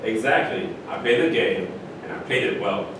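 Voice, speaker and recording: Actor as a boastful towering athlete, echoey. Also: male voice, moderate pace, lightly acted. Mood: self-assured. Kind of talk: staged play reading. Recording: distant echoey stage recording, rumbling noise.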